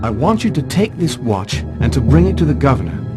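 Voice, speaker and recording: A man's voice: mid-range voice, big pitch swings, fairly quick, over loud music, close to an everyday voice. An actor playing a confident, courteous masked swordsman hero in an adventure game cutscene, with a wry manner. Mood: commanding.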